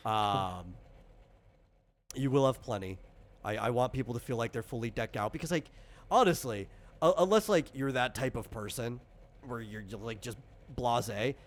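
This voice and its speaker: A man's voice: medium pitch, moderate pace. A man in the role of game master, casually explaining tabletop game rules in a rambling, conversational way with lots of asides.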